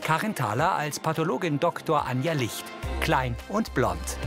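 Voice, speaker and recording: A man's voice: a little high, big pitch swings, normal speed, over loud music. A man reading scripted audio description in a neutral, factual manner. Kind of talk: scripted narration.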